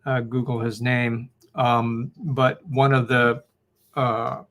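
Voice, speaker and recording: Slow, flat-voiced man lecturing. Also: mid-range voice. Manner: hesitant, many fillers. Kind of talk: public lecture.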